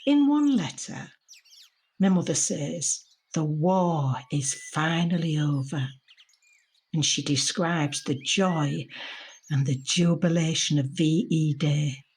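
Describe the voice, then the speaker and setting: An actress playing a lonely, anxious woman in her seventies in an intimate audio drama monologue, husky and confiding, often putting questions to herself. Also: low-pitched, takes it slowly, darkish tone, exaggerated voice. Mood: wistful. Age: 70s. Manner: reflective, confiding, with rhetorical questions. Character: lonely, anxious elderly mother shielding at home in lockdown.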